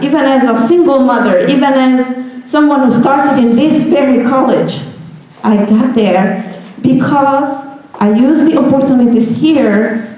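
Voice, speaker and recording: A woman's voice: on the high side, dark tone. Hungarian-accented woman in an echoey room. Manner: earnest, asks the audience questions. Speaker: guest speaker. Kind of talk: motivational talk to students.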